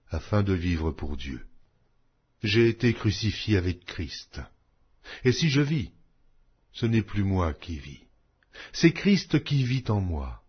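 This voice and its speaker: Husky low-voiced man reading scripture. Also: dull audio. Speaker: Bible reader.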